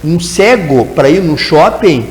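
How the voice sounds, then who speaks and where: A man's voice: a little high, lively intonation, slow pace, roomy sound, in a large hall, rough recording. A man, a guest educator answering in a TV interview, explaining at length and tagging his sentences with "né?". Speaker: guest educator in teacher training.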